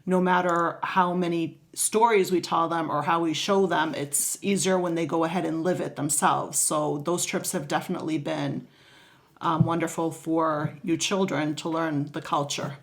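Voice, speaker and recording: Calm mother speaking reflectively. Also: deep voice, normal speed. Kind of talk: casual family conversation.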